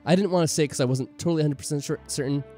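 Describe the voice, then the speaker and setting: A man's voice: fairly high pitch, racing, warm tone, soft background music. A man hosting a gaming video in casual chat, rambling and a little self-deprecating. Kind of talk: casual chat over a game playthrough.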